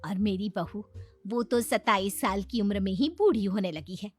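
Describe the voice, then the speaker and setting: A woman's voice: mid-range voice, moderate pace, darkish tone, faint music behind, pitched below the performer's own voice, natural voice. A woman voicing a nosy, eager middle-aged neighbor in a cartoon story, always hungry for gossip.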